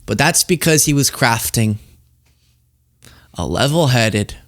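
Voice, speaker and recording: A man's voice: medium pitch, lively intonation, slow pace, fairly bright tone, no room sound, clean studio sound. Sarcastic male political commentator. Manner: sarcastic, laughs often.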